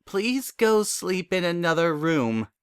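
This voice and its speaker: A man's voice: high-pitched, very animated, slow, smooth, dry recording, clean studio sound, voice pushed up, heavily acted. A man voicing an anxious, bookish female unicorn in a dramatic story reading, weary and earnest. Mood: hesitant, apologetic. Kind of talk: dramatic fan fiction reading.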